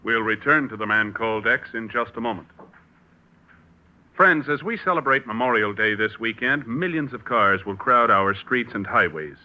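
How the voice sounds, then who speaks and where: Old timey radio announcer guy, forceful and formal, muffled recording, bit of music. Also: lowish voice, animated, normal speed. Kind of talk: 1940s radio announcing, scripted.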